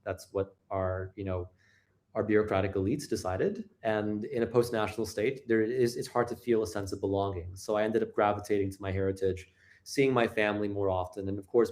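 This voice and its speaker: Measured Canadian man, low voice. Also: darkish tone. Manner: measured, hedging with "I mean". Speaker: guest. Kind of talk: interview answers.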